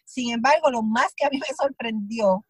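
A Puerto Rican woman with a high voice tells her stories with animation. She slips into little acted quotes of her own thoughts. The sound is close and dry, with no room around it. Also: normal speed, breathy edge, no echo. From Puerto Rico. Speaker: actress, guest. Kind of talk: conversational interview.

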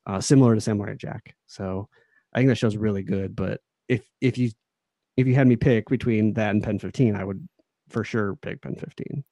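A man co-hosting a casual podcast chat, measured with a dry sense of humor. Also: lowish voice, warm tone, dry recording. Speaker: podcast co-host.

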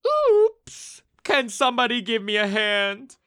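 A man's voice: very high voice, wildly swooping pitch, slow, sharp tone, clear, resonant tone, exaggerated voice. An actor playing a slick, glib male game show host with a showy announcer delivery in an audio drama.

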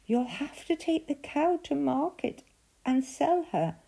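A woman's voice: sits high, animated, measured pace, vocal fry, mellow, pitched above the performer's own voice, heavily acted. A woman voicing a poor, worried mother who sounds sad and scolding.

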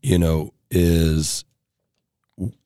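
A man with a deep, low voice, talking earnestly and casually. He restarts his sentences and checks in with "right?" a lot. The sound is close and dry. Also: level tone, drawn-out words, dark tone, clear, resonant tone, dry recording. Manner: earnest, many restarts, tags lines with right?. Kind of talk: casual chat.

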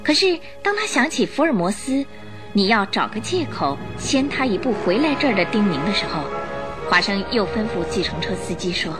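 Woman narrating a radio play, lively storyteller delivery, soft music under her. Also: mid-range voice, normal speed. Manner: storytelling, expressive. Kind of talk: scripted radio drama narration.

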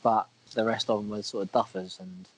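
A man with a croaky voice from a cold, co-hosting a podcast in casual, self-deprecating chat. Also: on the low side, steady tone, brisk, poor audio. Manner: chatty, self-deprecating, a bit crude. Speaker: podcast co-host.

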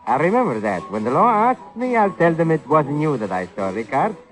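An actor playing a sly, needling blackmailer in an old radio crime drama, talking in ungrammatical street slang with mock friendliness. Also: male voice, fairly high pitch, sing-song, fairly quick. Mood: slyly threatening. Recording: old radio recording, music behind.

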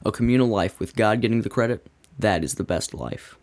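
A man reading a scripted devotional in a measured, earnest way. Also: on the low side, lively intonation, darkish tone, dry recording. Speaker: devotional reader.

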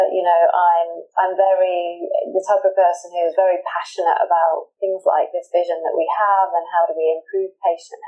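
Mellow-voiced British businesswoman, lo-fi. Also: lowish voice. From United Kingdom. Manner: measured, earnest, with frequent restarts. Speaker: pharmaceutical executive, interview guest. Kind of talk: interview, professional self-introduction.

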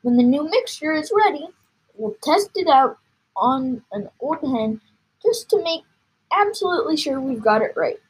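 A woman voicing an excitable, impatient father who exclaims and insists as she reads a children's story aloud. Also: high voice, normal speed, voice pushed up, poor audio. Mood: eager.

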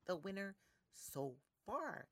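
A woman's voice: medium pitch, big pitch swings, slow, background noise. An actress playing a commanding adult woman of cold authority in an audio play, speaking in emphatic declarations. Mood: cool.

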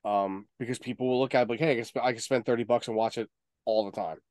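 A man's voice: mid-range voice, fairly even intonation, fast talker, a little mellow, dry recording. Casual, rambling man, close dry sound. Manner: informal, swearing, rambling. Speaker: podcast co-host. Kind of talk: casual chat about video game movies.

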